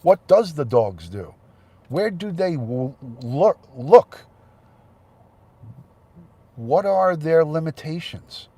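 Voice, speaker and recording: A man's voice: a little high, sing-song, slow pace, long pauses, dark tone, husky. A man hosting a casual livestream, congested with a head cold, chatting and thanking viewers by name. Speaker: livestream host.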